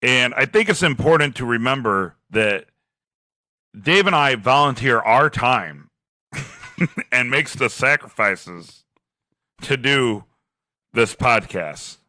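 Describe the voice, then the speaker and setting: A man with a deep voice and a slight lisp, co-hosting a casual podcast, sarcastic and self-mocking, laughing often. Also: expressive, takes it slowly, pauses often, bright, forceful tone, dry recording. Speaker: podcast co-host. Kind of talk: casual chat.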